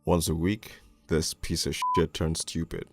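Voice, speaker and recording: A man playing a crude, cynical police detective, narrating a crime storybook in the first person in a gravelly, world-weary, deliberate way. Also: a bass voice, flat delivery, over music. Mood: cynical, brooding. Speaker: narrator and lead voice actor in an animated storybook.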